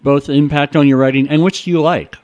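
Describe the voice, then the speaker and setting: A man's voice: a little high, fairly even intonation, brisk, a little mellow, muffled recording. A male radio host interviewing a guest, asking long, rambling questions full of restarts.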